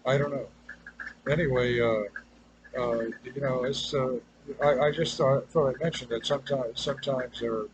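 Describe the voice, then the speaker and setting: A man giving an unscripted, rambling personal monologue in a halting, hesitant way, trailing off and circling back to his point. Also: lowish voice. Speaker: personal video maker. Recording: clearly noisy ambient background.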